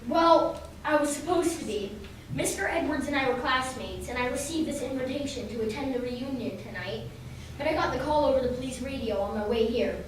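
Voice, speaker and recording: An earnest radio-play police detective played by a woman, pitched high for the part. The voice rings in a very echoey hall, some distance from the mic. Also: brisk, a little hiss or hum, close to an everyday voice. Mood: gentle, polite. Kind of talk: old-time radio drama acting.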